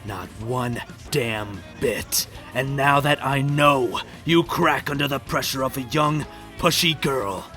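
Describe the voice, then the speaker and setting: A man's voice: mid-range voice, slow pace, hardly any pauses, pushed and bright, faint music behind. An actor playing a bitter, unhinged widowed middle-aged father, sardonic and menacing, in a dramatic comic dub. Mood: furious, desperate.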